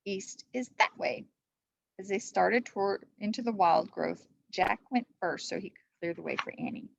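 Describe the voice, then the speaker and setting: Woman teacher for storybook narration, gravelly edge, dry but slightly dull recording. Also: medium pitch, normal speed, no room sound. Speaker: teacher reading aloud. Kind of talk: storybook read-aloud narration.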